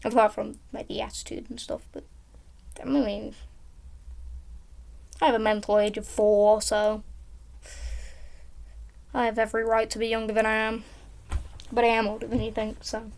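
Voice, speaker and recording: A woman with a high voice, rambling casually and drifting between topics while commenting on a game she is playing. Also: flat delivery, normal speed, vocal fry, pauses often, a little mellow. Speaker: gaming YouTuber.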